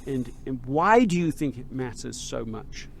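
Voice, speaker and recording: Sex: man. Role: podcast host, halting and reflective. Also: medium pitch, big pitch swings, gravelly, slight background noise. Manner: halting, with many repeated starts; reflective, builds ideas aloud. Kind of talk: conversational interview.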